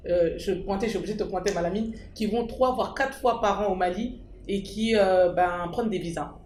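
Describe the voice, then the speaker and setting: A woman's voice: medium pitch, brisk, darkish tone, echoey room, slight background noise. A woman speaking up at an association meeting, emphatic and repeating her key points. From France. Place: meeting hall.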